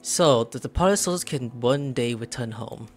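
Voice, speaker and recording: A young man with a headset playing a game, commenting playfully and excitably, reading lines aloud and swearing and shouting in fights. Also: mid-range voice, a little slow, faint music behind. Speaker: gaming streamer.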